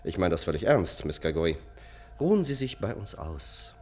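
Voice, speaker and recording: Coaxing doctor, wildly varied intonation. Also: male voice, deep voice, sing-song, normal speed. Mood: firm, insistent. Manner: coaxing, professional, jocular. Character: brisk male doctor, soothing patients, firmly insistent. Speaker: radio drama actor. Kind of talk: German science-fiction radio play acting. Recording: noisy old radio drama recording.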